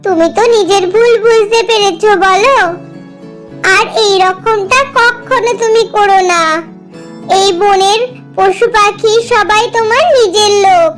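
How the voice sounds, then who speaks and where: A woman voicing a kind, earnest young mother bird in a children's cartoon, speaking gently and instructively. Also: extremely high pitch, fairly even intonation, a little slow. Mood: gentle, reassuring. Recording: music under the voice.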